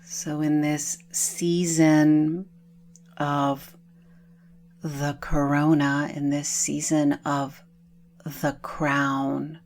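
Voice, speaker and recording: A woman leading a guided meditation in a soothing manner, leaving long pauses between phrases. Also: low voice, flat delivery, a little mellow.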